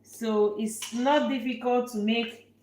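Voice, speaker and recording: A woman chef from Nigeria, hosting a live cooking stream and chatting warmly with viewers. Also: on the high side, fairly even intonation, takes it slowly, echoey room, slight background noise. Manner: warm, repetitive, answers viewer questions. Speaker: chef and channel host. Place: restaurant kitchen.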